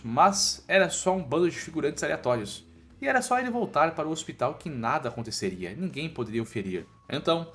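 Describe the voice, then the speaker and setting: A man's voice: mid-range voice, a bit bright. A man with a Brazilian accent narrating a scripted story recap in an informal, slangy, irreverent way. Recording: music bed under the voice. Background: background music.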